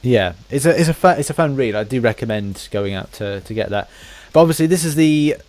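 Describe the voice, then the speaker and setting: Male podcast host, medium pitch, informal, questions and asides delivered in a stumbling, stop-start way. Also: lively intonation, a little mellow, no echo. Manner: halting, stumbling over phrases. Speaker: podcast interviewer. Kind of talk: casual interview chat.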